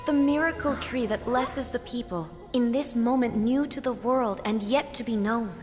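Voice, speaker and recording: Game role: departed goddess played by a woman, high voice, tender, serene, formal; long reverb, music behind. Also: lightly acted. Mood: solemn, tender. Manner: tender, formal, unhurried storytelling delivery. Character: gentle, serene departed goddess, a lingering spirit bidding farewell. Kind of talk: video game voice acting.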